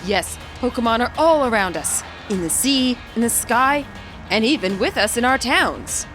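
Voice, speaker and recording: A woman's voice: on the high side, animated, normal speed, a bit bright, soft background music. A woman voicing a male business chairman giving a formal, pompous and welcoming public speech, as in a game story. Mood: grand, welcoming. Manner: formal, showy public-speaker delivery.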